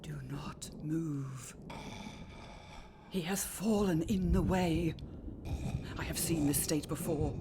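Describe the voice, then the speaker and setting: A woman's voice: low-pitched, takes it slowly, pauses often, background noise. An actress playing a severe, watchful, haunted lady of a Victorian house in a radio play, commanding and theatrical. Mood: hushed, commanding.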